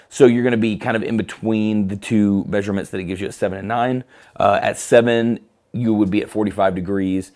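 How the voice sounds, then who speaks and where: A man with a hoarse, scratchy throat walks viewers through lighting gear in a live studio stream. His voice sits fairly low, and he talks in a loose, chatty way, filling pauses with "uh" as he handles the equipment. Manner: relaxed, chatty, with frequent fillers. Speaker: company product presenter. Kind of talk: casual live product demo. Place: photo studio.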